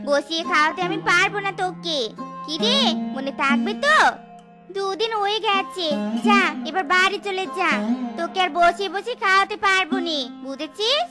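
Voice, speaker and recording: A woman voicing a cruel, scheming, sharp-tongued aunt in a cartoon tale, with dramatic scolding delivery. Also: extremely high pitch, normal speed, loud music behind the voice. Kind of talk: exaggerated cartoon voice acting.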